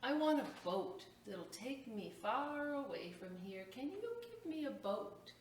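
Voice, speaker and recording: A woman's voice: on the high side, very animated, measured pace, pitched above the performer's own voice. A woman voicing a needy, self-absorbed boy who grows into a weary man, plaintive, in a children's storybook. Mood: wanting, insistent. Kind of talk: children's storybook read-aloud. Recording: echoey room, distant mic, faint noise.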